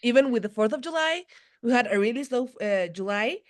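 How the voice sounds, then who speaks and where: A woman's voice: a little high, lively intonation, a little fast. A woman hosting a roundtable, giving brief, friendly answers and wrapping up the call. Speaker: roundtable host. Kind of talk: casual video call roundtable.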